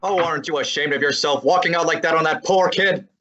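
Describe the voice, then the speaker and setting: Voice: mid-range voice, fast talker, a bit bright, dull audio, lightly acted. A performer playing a brash young male assistant who also narrates in an old-time radio drama, talking in a teasing, breezy way. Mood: needling.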